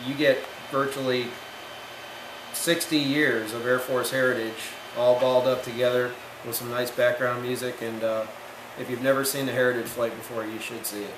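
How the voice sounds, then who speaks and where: A man's voice: mid-range voice, flat delivery, moderate pace. An American man in his thirties, a military aircraft maintainer, giving informal, plain-spoken explanations in an interview. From Rochester, New York, United States. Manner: plain-spoken, explanatory, frequent you-knows. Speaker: military aircraft maintainer, demo team chief. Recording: echoey room with clear background noise.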